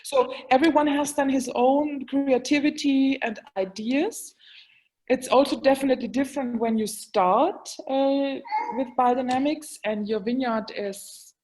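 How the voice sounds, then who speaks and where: A woman's voice: sits high, steady tone, measured pace, darkish tone, rough recording. Woman talking english in an interview, lots of ums, hunting for words. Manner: hesitant, many fillers, searching for words. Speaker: guest, wine expert. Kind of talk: casual interview talk.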